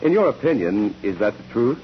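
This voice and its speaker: An actor playing a formal, relentless courtroom examiner who questions witnesses deliberately in a 1940s radio drama. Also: male voice, mid-range voice, big pitch swings, lightly acted. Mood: pressing. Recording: muffled, noisy old 1940s radio recording.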